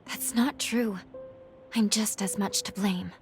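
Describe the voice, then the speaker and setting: An actress playing an earnest, determined young companion in a video game, with a husky, breathy voice. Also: female voice, medium pitch, steady tone, slow, faint music behind. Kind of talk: video game cutscene dialogue.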